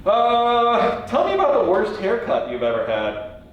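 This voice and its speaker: A man's voice: sits high, huge pitch swings, a little slow, muffled recording. A man hosting a light, playful interview, asking questions in an informal, quirky, jokey way. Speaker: interview host. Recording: very echoey room, distant mic.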